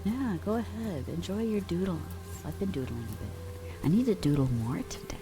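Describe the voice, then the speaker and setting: A young adult woman streamer chatting softly with her viewers, drifting in and out of whispers, relaxed and affectionate. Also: fairly low pitch, brisk, over music. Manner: relaxed, affectionate, reads and answers chat; drifts between soft talk and whispers. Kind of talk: soft-spoken ASMR chat with viewers.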